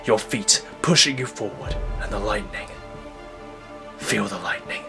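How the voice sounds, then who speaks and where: Man as a hushed, commanding mentor, low-toned. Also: creaky, music in the background. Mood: hushed, intense. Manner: hushed, slow, intense coaching. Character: calm, commanding male scientist mentor. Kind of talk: superhero audio drama.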